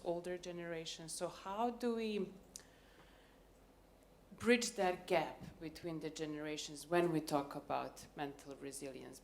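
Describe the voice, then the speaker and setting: A woman from Serbia, an advertising executive asking an earnest question from the audience, pausing to find her words. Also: deep voice, slight background noise. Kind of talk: question from the floor.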